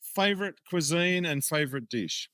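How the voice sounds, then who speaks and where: A man's voice: a little high, expressive, moderate pace, a bit bright, no room sound, studio quality. A man co-hosting a podcast, putting questions and responses to a guest in casual interview chat.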